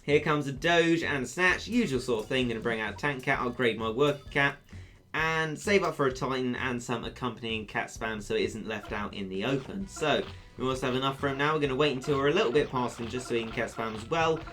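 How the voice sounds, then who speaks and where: A man giving upbeat, joking commentary over a mobile game, his voice going a little hoarse. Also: mid-range voice, a little fast, fairly bright tone, soft background music. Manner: chatty, upbeat, self-deprecating jokes. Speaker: gaming YouTuber. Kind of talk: let's play commentary.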